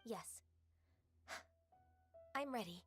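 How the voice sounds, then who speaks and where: An actress playing a gentle, earnest young half-immortal woman in a video game, polite in manner. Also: a little high, animated, drawn-out words, soft background music, heavily acted. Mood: apologetic, hesitant.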